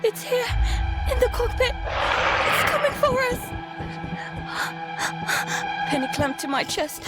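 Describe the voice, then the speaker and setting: A woman playing a terrified child who narrates a disaster at sea in halting, urgent first-person drama. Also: very high voice, sing-song, exaggerated voice. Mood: panicked. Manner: halting, repeating words, breathy urgency. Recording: loud dramatic music behind. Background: dramatic music.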